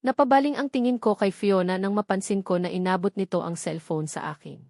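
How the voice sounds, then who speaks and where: A woman's voice: mid-range voice, darkish tone, no echo, clean studio sound. A woman with a Filipino accent reading a dramatic first-person novel as an audiobook narrator.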